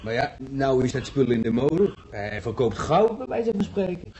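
Man playing an easygoing, medium-pitched patient. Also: sing-song, moderate pace, darkish tone, a little hiss or hum, lightly acted. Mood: amused. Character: easygoing, good-humoured male market trader in hospital. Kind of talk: old radio drama acting.

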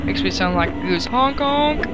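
A woman's voice: fairly high pitch, animated, normal speed, highs cut off, heavy background noise. A woman giving casual, playful commentary as she plays a video game, joking around and taunting enemies. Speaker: let's play gamer. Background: loud game music.

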